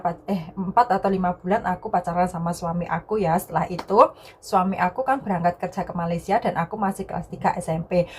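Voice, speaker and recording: Woman telling a personal story, noisy recording. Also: medium pitch. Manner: repeats and rephrases phrases, often tagging sentences with "ya". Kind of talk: casual storytelling to viewers.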